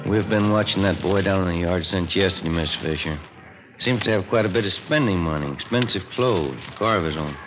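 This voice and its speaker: A man's voice: low-pitched, fairly quick, a bit bright. A man acting a watchful, businesslike police partner, in 1950s radio drama style. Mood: alert, businesslike. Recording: dull old radio recording, music behind.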